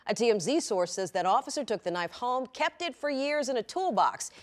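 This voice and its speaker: A woman's voice: on the high side, sing-song, brisk. A woman with an American accent anchoring TV news, reading stories and putting questions to a guest.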